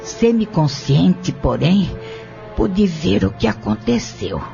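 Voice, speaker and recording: An elderly woman witness acted by a man in an audio novel, speaking very slowly with a fairly high pitch for a male voice. The delivery is formal and deliberate, with a creaky edge. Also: big pitch swings, mellow, exaggerated voice. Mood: grave. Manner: measured, formal, deliberate testimony. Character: elderly upright woman shopkeeper, firm courtroom witness. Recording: dull lo-fi audio with music bed. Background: music.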